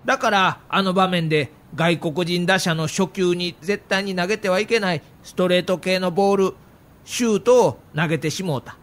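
Kansai-accented veteran pundit, fairly high voice, calm and explanatory. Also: male voice, normal speed. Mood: explaining. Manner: explanatory, matter-of-fact. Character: veteran male baseball pundit, calm and knowing. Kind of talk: acted audio documentary.

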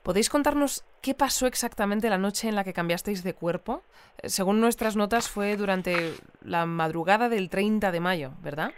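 An actress playing a composed radio newscaster, speaking in a measured, articulate way as she interviews guests. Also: medium pitch, clear, resonant tone, lightly acted. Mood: composed, inquiring. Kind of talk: scripted radio news interview.

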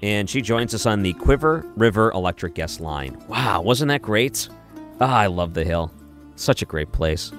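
A man's voice: lowish voice, expressive, normal speed, a bit bright, faint music behind. A man hosting an overnight talk radio show, interviewing a guest and affirming her often. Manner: affirming, with frequent short responses. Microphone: studio microphone.

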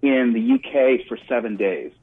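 A man chatting casually as an interview guest, halting and repeating words as he thinks. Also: medium pitch, slightly rough. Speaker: interview guest, political commentator. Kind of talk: casual spontaneous interview chat. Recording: muffled, band-limited remote line.

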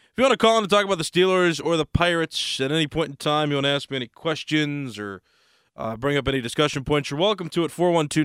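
An American man hosts sports talk radio in a fairly high, clean voice with a touch of hoarseness. He talks casually and takes his time, pausing mid-thought. The sound is close and dry. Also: fairly bright tone, no room sound. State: slightly hoarse.

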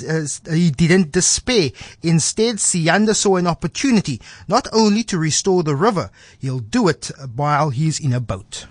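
A man's voice: sits high, no echo. A man hosting a radio show, reading short scripted feature introductions with the odd slip and filler. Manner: reads scripted intros with small slips and fillers.

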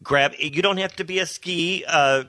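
A man's voice: fairly high pitch, moderate pace, sharp tone. A man hosting a local radio talk show, chatting casually and hesitating often with "uh". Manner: easygoing, hesitant with many "uh"s.

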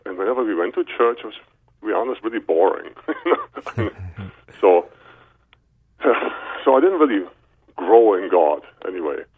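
A man's voice: lowish voice, expressive, unhurried, long pauses, husky. A man in his fifties with a German accent, telling his own story casually as an interview guest over a phone line. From Berlin, Germany. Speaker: guest, chiropractor. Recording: narrow muffled telephone line.

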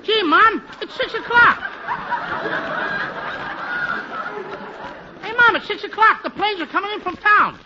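Bratty, very high-pitched little boy. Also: lively intonation, fairly quick, crowd noise. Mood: excited, silly. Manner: whiny, defiant, wisecracking. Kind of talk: 1940s radio comedy acting. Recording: noisy, muffled 1940s radio recording.

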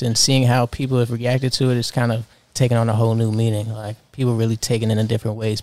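A man in his twenties, a fashion designer, answering interview questions in a relaxed, earnest way with lots of fillers. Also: mid-range voice. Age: 20s.

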